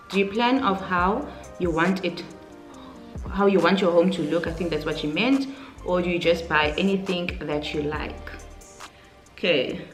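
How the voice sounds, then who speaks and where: A South African woman in her late twenties speaks in a slightly low voice. She talks informally, as in a casual vlog, working out her answers aloud. The room is clearly echoey, with faint music playing underneath. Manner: informal, conversational, thinking aloud. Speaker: YouTuber, vlogger.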